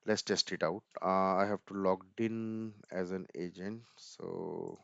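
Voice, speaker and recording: A man's voice: low voice, fairly even intonation, slow, creaky, dry recording, lo-fi sound. A man giving an informal screen-share tech walkthrough, thinking aloud hesitantly and often repeating words. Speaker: tutorial presenter.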